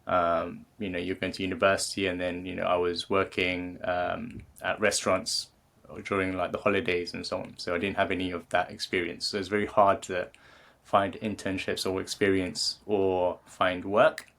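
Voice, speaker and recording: A man in his thirties with a British accent speaks in a very low voice. He talks loosely as an interview guest, stopping and restarting often, with plenty of fillers along the way. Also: flat delivery, moderate pace, creaky, a bit bright. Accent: British English. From England. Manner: hesitant, many fillers and restarts. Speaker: guest, creativity coach. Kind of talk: casual interview conversation.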